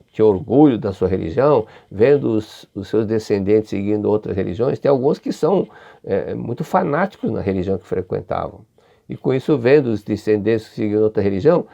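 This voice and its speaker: An older man with a Brazilian Portuguese accent giving a religious study lecture, unhurried and often repeating or restarting his phrases. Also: lowish voice, vocal fry, warm tone, lo-fi sound.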